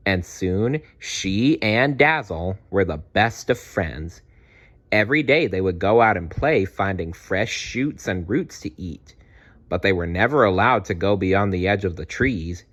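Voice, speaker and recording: Guy reading a kids story out loud, lowish voice, really expressive, takes it slow. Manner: animated, unhurried storytelling with small asides. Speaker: children's book read-aloud narrator.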